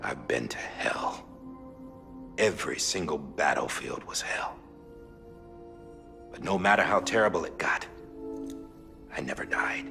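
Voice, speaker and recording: A haunted, middle-aged American war veteran confesses gravely in a video game drama. He speaks slowly and low, halting as he goes, with loud music underneath. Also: expressive, full character voice. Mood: weary, haunted.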